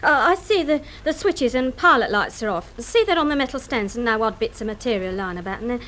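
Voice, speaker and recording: An actress playing an eager, scatterbrained young English factory junior in a radio drama, chatty and deferential. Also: female voice, on the high side, expressive, brisk, a bit bright. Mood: flustered. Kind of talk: mid-century British radio drama acting.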